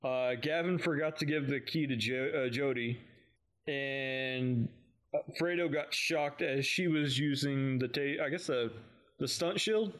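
A man's voice: mid-range voice, measured pace, fairly bright tone. A man co-hosting a fan podcast, recapping in casual chat with an amused manner. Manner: amused, chuckles often while recapping. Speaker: podcast co-host.

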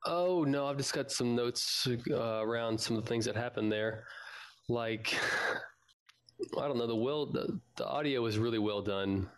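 A man with a medium-pitched voice and a noticeable gravelly fry hosts a casual fan podcast. He talks informally and chattily, as one fan to another. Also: moderate pace.

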